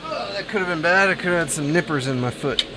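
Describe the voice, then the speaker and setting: A man's voice: a little high, lively intonation, fast talker, noisy background. A man streaming a console repair, chatting with viewers and thinking aloud while he solders. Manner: thinks aloud, chats with viewers between tasks. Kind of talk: casual live-stream chat while soldering.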